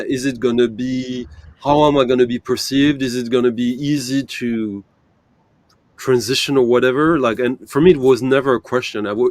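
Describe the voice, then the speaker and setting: A man's voice: mid-range voice, darkish tone, dry recording. A man chatting as a panel guest over a video call, thinking aloud with many fillers and self-corrections. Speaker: panel guest, vinyl channel creator. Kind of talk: casual panel chat.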